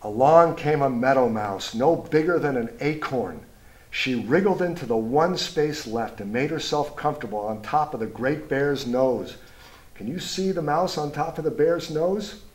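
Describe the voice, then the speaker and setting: A man reads a children's picture book aloud in an expressive storytime style, pausing to point things out and ask young listeners questions. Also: medium pitch, poor audio. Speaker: storybook reader, school superintendent.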